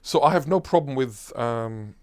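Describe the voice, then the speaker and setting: A man, a Hebrew speaker, answering as a linguist guest in an interview, emphatic and animated, full of lists and rhetorical questions. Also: medium pitch, moderate pace. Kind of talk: enthusiastic explanatory interview answer.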